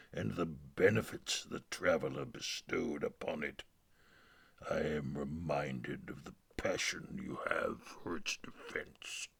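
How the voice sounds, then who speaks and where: A man voicing an imperious, grandiose alien emperor, pompous and husky, in a dramatic game lore reading. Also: rumbling low, a bit bright, exaggerated voice. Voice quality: gravelly, husky. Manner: pompous, ponderous, breathy.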